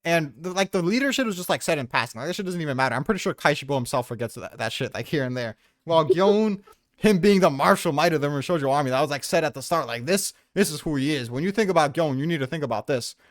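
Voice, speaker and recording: Fast-talking man in a casual debate. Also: on the high side, animated, no echo, studio quality. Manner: argumentative, casually profane. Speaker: debater on a manga ranking podcast.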